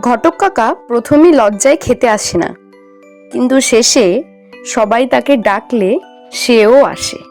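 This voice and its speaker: High-pitched woman narrating a children's story. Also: unhurried, a little mellow, faint music behind.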